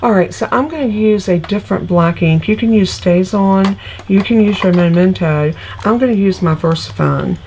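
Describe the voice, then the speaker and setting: A woman's voice: medium pitch, moderate pace, hardly any pauses, darkish tone, a little hiss or hum. A middle-aged woman, still congested from illness, chatting homily through each step of a card-making tutorial. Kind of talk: casual craft tutorial.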